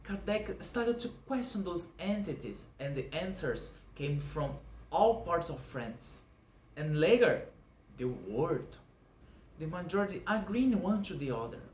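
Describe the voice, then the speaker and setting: Lively young Brazilian man, echoey room. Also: fairly high pitch. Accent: Brazilian Portuguese. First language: Portuguese. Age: 20s. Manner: earnest, measured, lists points one by one. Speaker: channel host explaining a doctrine. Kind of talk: scripted explanatory monologue. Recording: echoey room with faint background noise.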